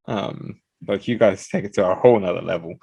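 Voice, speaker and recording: A man chatting on a podcast who presses his co-hosts with quick, challenging questions. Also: low-pitched, creaky, a little mellow, slightly rough, no room sound, muffled recording. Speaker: podcast host. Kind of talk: casual chat.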